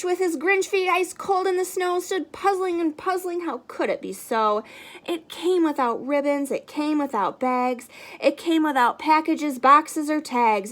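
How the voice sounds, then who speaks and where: A woman reading a children's picture book aloud expressively, with dramatic pauses and a sing-song rhyming delivery. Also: sits high, a bit bright.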